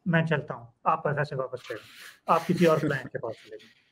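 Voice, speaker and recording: A man, talking as a guest in a conversational interview, animated and telling stories. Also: on the high side, normal speed, slightly rough. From Pakistan. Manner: animated storyteller, acts out clients and students in quotes. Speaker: guest, freelance copywriting trainer.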